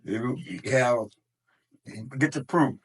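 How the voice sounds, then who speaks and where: A man's voice: mid-range voice, slow pace. A man, telling stories to his grandson in a rambling way, repeating words and restarting his sentences. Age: 90s. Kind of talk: casual storytelling.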